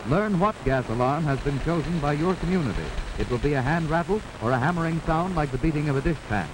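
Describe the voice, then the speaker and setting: A man's voice: on the high side, lively intonation. Noisy 1940s American male film narrator. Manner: authoritative, formal, measured instruction. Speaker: narrator of a wartime civil defense instructional film.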